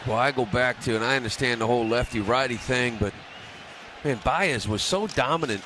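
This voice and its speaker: A man's voice: medium pitch, a little fast. A man giving opinionated, conversational color commentary on a live radio baseball broadcast. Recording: radio broadcast with clear stadium noise. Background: outdoor ballpark ambience.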